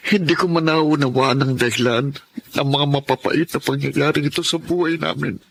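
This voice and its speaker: An anguished Filipino husband in a radio drama, crying out to God. His voice is high and piercingly bright, stretched into theatrical, impassioned pleading. Clear noise and ambience sit behind him. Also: steady tone, moderate pace. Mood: weary pleading.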